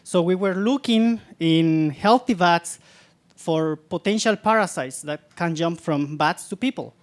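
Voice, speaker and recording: A man from Guatemala with a Guatemalan accent giving a public science talk, halting often and repeating words as he searches for them. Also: a little high. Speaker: guest lecturer, scientist.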